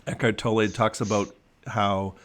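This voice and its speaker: Low-voiced man, reflective coach. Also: flat delivery, moderate pace, fairly bright tone. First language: English. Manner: reflective, many restarts and fillers. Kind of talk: casual conversational discussion.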